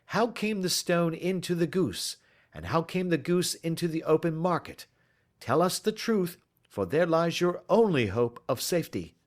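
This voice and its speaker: A man voicing a brisk, masterful gentleman detective in an audiobook, speaking with formal precision and dry mockery. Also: a little high, very animated, pitched above the performer's own voice. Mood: stern. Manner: commanding, precise, dryly mocking, formal. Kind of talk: audiobook character voice.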